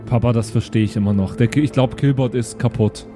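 A man's voice: medium pitch, steady tone, brisk, music in the background, lightly acted. A man playing a curious, stubborn son who argues naively and colloquially with his papa in an improvised audio drama. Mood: argumentative, naive.

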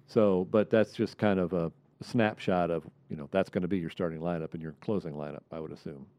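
A man on a sports roundtable giving casual, analytical commentary in a measured way. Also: low-pitched, fairly even intonation, warm tone, no room sound. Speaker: sports panelist.

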